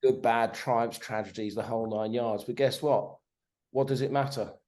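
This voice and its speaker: Sweary animated man in his forties. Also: mid-range voice, normal speed. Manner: sweary, animated, keeps tagging "right?". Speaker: podcast guest. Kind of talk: casual conversation.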